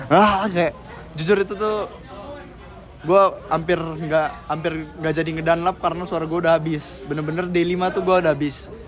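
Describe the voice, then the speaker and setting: Young man, a bit high-pitched, loose slangy answers with lots of fillers, outdoor interview. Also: steady tone, normal speed, long pauses. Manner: rambling, slangy, heavy with fillers. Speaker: senior member being interviewed.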